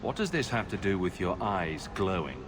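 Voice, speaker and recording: A man playing a concerned adventurer in a video game, speaking in a measured, probing way. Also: sits low, very animated, a bit bright. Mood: questioning. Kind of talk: video game dialogue acting.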